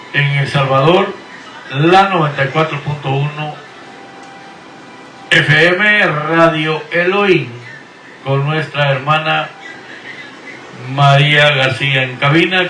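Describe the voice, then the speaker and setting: A middle-aged man, a pastor, preaching and sending drawn-out, earnest blessings and greetings over the radio. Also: fairly high pitch, bright, forceful tone, a little hiss or hum. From Guatemala. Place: Houston, Texas. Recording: echoey room, voice from far off the mic.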